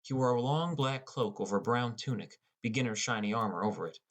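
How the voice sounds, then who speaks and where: A man's voice: mid-range voice, a little fast. A man narrating a scripted first-person story as an audiobook narrator.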